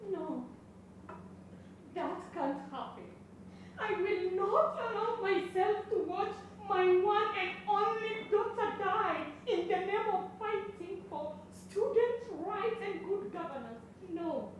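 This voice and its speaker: A woman's voice: very high-pitched, expressive, slow pace, highs cut off, full character voice. A Kenyan woman playing a fearful, protective mother in a stage play, heard from a distance. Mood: pleading, distraught. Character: fearful, protective middle-aged mother, emotional and pleading. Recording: echoey distant stage recording, clear noise.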